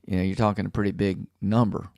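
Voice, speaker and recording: A man's voice: on the low side, little pitch movement, fast talker, creaky, a little mellow, dry recording, clean studio sound. A man hosting a podcast, asking leading questions in a chatty way and laughing easily. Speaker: podcast host. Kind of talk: casual chat.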